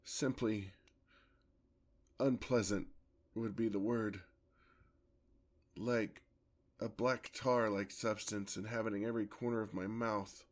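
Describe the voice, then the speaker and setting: A man playing a courtly, hungry vampire lover in an ASMR fantasy roleplay, with formal, theatrical speech and a gravelly voice. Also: lowish voice. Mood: wry, courteous. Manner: theatrical, formal, drawn-out endearments. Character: courtly, hungry male vampire, tender yet predatory lover.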